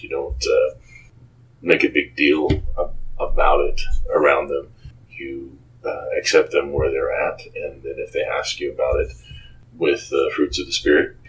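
Really deep voiced guy answering interview questions, thinks it through, pauses a lot. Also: fairly bright tone, rough recording. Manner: halting, thoughtful, frequent pauses. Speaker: interview guest, author and game designer.

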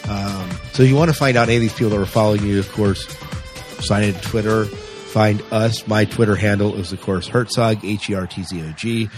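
A man's voice: on the low side, fairly even intonation, fairly quick, over music. A man hosting a podcast, chatting casually and informally. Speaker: podcast host. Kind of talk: casual podcast outro chat.

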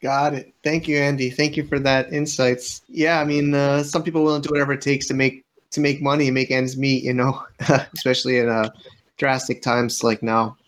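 A man's voice: mid-range voice, flat delivery, normal speed. A man hosting an online panel in a casual, unscripted way, chatty and encouraging with the speakers.

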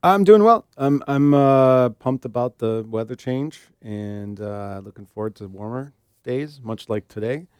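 A man's voice: mid-range voice, lively intonation, measured pace, darkish tone, clear, resonant tone, dry recording, studio quality. A middle-aged man co-hosting a casual podcast, with a wry, joking way of talking. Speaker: podcast co-host.

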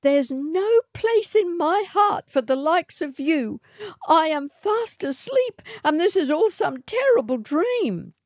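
A woman's voice: very high voice, very animated, slow, no room sound, studio quality, voice pushed up, full character voice. A woman voicing an indignant, frightened woman who protests sharply. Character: Matilda, indignant, frightened woman resisting a swagman's spell.

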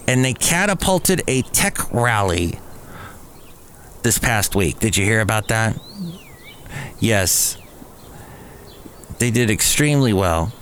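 A man's voice: medium pitch, a bit bright, slight background noise. A man hosting a podcast, reading news items conversationally with casual, wry asides. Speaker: podcast host.

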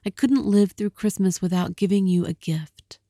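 A woman's voice: mid-range voice, moderate pace, warm tone, no echo, studio quality, natural voice. A woman reading a storybook aloud, voicing an anxious, loving young wife with a pleading, breathless manner.

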